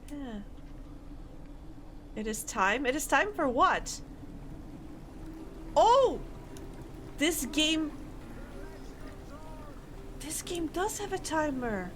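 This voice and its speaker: Lively-voiced woman streaming a game. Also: high-pitched, noisy background. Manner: chatty, playful, thinking aloud. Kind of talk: gameplay commentary.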